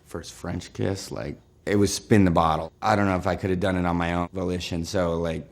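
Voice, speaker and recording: A man in his thirties from Northern California, telling relaxed stories in an interview with lots of fillers. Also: low-pitched, brisk, creaky. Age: 30s. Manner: relaxed storytelling with frequent fillers. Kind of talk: casual anecdotal interview.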